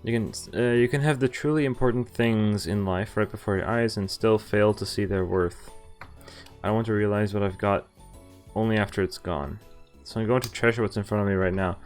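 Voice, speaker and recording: Male game streamer, lowish voice, casual read-aloud narration mixed with off-the-cuff reactions, game music behind. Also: normal speed. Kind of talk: visual novel let's play, reading text aloud with casual commentary.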